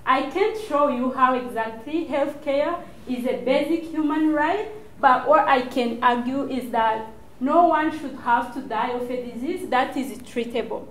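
A woman with a Rwandan accent presents her research in an echoey lecture room. Her voice sits fairly high, and she speaks earnestly with deliberate phrasing, as in a prepared public talk. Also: slight background noise. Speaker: doctoral student presenting research. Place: small indoor lecture room, standing before a screen.

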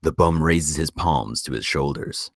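A man narrating a screenplay at a table read in a measured storytelling delivery. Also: rumbling low, no echo, clean studio sound. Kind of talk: scripted narration of stage directions.

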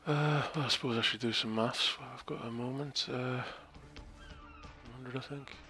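A man's voice: mid-range voice, steady tone, moderate pace, sharp tone. A man thinking aloud and commentating his own strategy game match with wry asides. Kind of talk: casual gameplay commentary. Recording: game music behind the voice. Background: game music.

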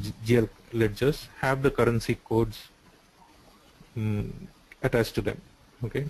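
Man, mid-pitched voice, explanatory, many fillers, video call. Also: darkish tone, rough recording. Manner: explanatory, frequent "uh" and "so". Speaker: software developer answering questions.

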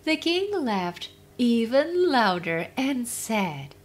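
A woman narrating a children's fairytale as storyteller, expressive and deliberate, with faint noise. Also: a little high.

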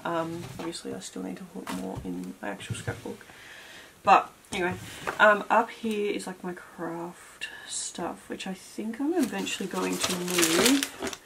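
A woman's voice: lowish voice, big pitch swings, unhurried, gravelly. A young woman in her twenties, chatting casually while tidying her room, rambling with lots of fillers. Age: 20s. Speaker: beauty vlogger. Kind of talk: casual clean-with-me chat. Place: cluttered bedroom beauty room, indoors. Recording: noisy home camera audio.

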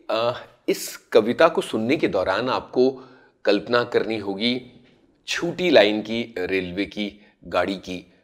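A man in his forties, a radio host, recounting a memory and then reciting a rural poem in an expressive, sing-song way, recorded indoors on a lapel mic. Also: medium pitch. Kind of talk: storytelling poetry recitation. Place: indoor studio room.

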